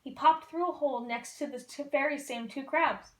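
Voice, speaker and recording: High-voiced woman reading a kids' story. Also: moderate pace. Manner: lively, expressive. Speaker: costumed storyteller. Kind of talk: reading a picture book aloud to children. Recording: roomy echo.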